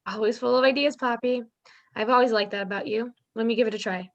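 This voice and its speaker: A woman's voice: fairly high pitch, fairly even intonation, quick pace, lo-fi sound, close to an everyday voice. A woman reading the part of an unsure, earnest teenage witch girl in an amateur table read. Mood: relieved.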